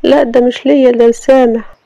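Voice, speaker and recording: Narrator putting on a young wife, Egyptian accent, high lifted pitch, sharp indignant retorts, gravelly edge, dry close sound. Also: female voice, level tone, brisk, no echo, lo-fi sound. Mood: pleading. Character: young wife, defiant, quick-tempered and proud. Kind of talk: storybook audio drama.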